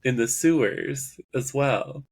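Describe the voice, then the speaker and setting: A man's voice: mid-range voice, slow pace, creaky, a little mellow, slightly rough. A man co-hosting a podcast, chatting casually in brief interjections. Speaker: podcast co-host. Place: home room, on a group video call.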